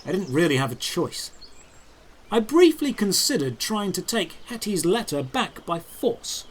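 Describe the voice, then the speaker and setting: A man narrating a scripted first-person fantasy audio drama in a dramatic, deliberate storytelling manner, in short phrases. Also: high voice, big pitch swings, a little hiss or hum. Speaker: narrator and lead character.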